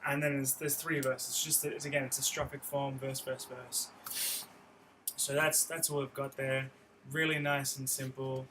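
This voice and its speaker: A man's voice: mid-range voice, little pitch movement, moderate pace, pushed and bright, slight background noise. A young man teaching guitar on a live stream, explaining chords in a friendly, rambling way with many restarts. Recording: guitar playing behind voice.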